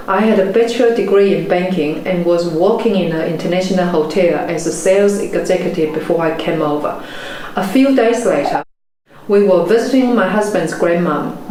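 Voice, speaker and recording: A woman in her forties, a native Chinese speaker with a Chinese accent, giving a measured, earnest motivational talk as a property investment mentor. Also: fairly low pitch, darkish tone. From China. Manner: measured, earnest storytelling delivery. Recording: very echoey room, faint background noise.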